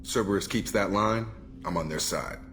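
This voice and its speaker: A man's voice: lowish voice, normal speed, a bit bright, a little hiss or hum, lightly acted. A man playing a serious, principled ex-military operative in a video game, speaking matter-of-factly. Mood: earnest, steady. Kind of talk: video game dialogue acting.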